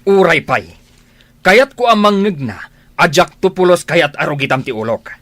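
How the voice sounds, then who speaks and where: A man's voice: fairly high pitch, sing-song, normal speed. A man acting a domineering, suspicious husband in a radio drama, contemptuous and boastful. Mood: contemptuous, angry.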